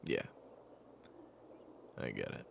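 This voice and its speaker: A man playing a weary, patient caretaker in an intimate audio roleplay, speaking in a hushed, hesitant way. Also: slow pace, noisy background, natural voice. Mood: weary, sympathetic. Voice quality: very low gravelly voice. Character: weary, patient man caring for an amnesiac companion, retelling their past.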